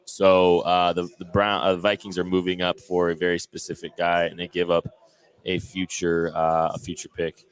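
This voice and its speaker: A man's voice: deep voice, monotone, moderate pace, clean tone, poor audio. A man hosting a live draft stream from a sports bar, chatting casually, laughing often and announcing picks.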